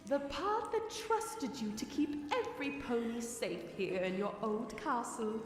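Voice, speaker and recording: A woman's voice: a little high, big pitch swings, measured pace. A woman voicing a gloating parasitic monster in an audio drama, theatrical and savoring its cruelty. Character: gloating parasitic monster wearing a dead pony's body. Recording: echoing, long reverb over music.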